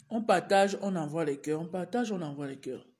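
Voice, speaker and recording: A woman's voice: fairly low pitch, darkish tone. A woman teacher with a cold, speaking casually in a live stream and urging her viewers again and again. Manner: repetitive, urging viewers over and over. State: has a cold, clears throat and coughs. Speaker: teacher livestreaming to followers.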